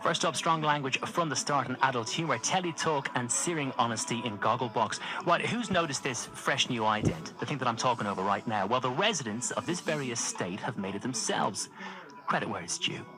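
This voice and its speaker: A man's voice: mid-range voice, animated, a little fast, rough recording. A British man giving a broadcast continuity announcement as a television announcer. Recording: music behind the voice. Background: music.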